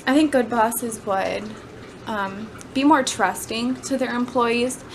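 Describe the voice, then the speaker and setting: A woman's voice: fairly high pitch, steady tone, takes it slowly. Woman giving a speech, noisy recording. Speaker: student giving a class speech. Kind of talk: informal prepared speech on personal work experience. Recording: clearly noisy amateur camera recording.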